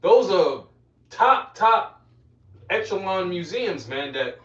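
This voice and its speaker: A man's voice: sits high, sing-song, slow pace, fairly bright tone. A man teaching scripture interactively as a preacher, emphatic, repeating phrases and prompting those around him. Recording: dull, echoey, distant from the mic.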